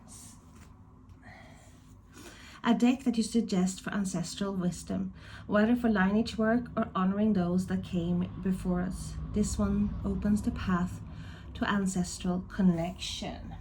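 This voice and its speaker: A woman with a Swedish-tinged accent talks slowly through her oracle decks, taking her time. Her voice sits low and mellow, with a gravelly fry. A faint hiss runs under her casual, thoughtful chat. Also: steady tone, poor audio. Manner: slow, thoughtful, meandering talk. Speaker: tarot deck reviewer. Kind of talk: casual deck review chat.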